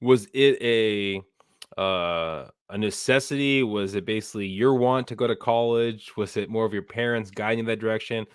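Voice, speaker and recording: A man hosts a podcast and asks his guest long questions. His voice is low and smooth, with a dry close sound. He hesitates often, adding "I mean" and "um" as he goes. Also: moderate pace, no echo. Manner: hesitant, many "I mean" and "um" restarts. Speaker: podcast host. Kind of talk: interview questions.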